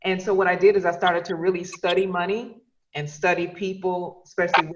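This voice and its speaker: A woman's voice: fairly low pitch, little pitch movement, a little fast, highs cut off, heavy background noise. A woman from Chicago, a radio host and podcaster, telling her career story with enthusiasm on a video call. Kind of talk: casual video call chat.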